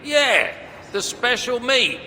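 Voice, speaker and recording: An actor playing an excitable, grumbling dwarf craftsman in a video game, with a blustering, colloquial manner. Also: extremely high pitch, takes it slowly, full character voice. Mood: irritated. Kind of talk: video game cutscene acting. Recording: reverberant hall, faint background noise.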